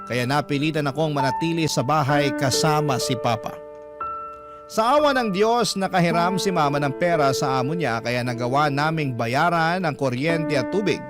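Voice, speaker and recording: Male Filipino radio narrator, dramatic storytelling, fairly high pitch, music bed underneath. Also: expressive, moderate pace. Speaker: radio narrator reading a listener's letter.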